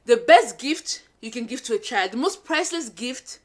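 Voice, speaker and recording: A young woman from Cameroon, a first-time mom vlogging in a sassy, animated way, whose first language is French. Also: on the high side, moderate pace. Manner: animated, sassy, switches to French with her toddler. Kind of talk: casual talk to camera.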